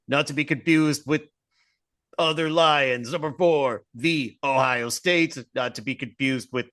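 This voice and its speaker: A man's voice: medium pitch, pushed and bright. A man co-hosting a podcast, joking wryly in the style of a mock sports report. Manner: wry, joking. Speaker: podcast co-host.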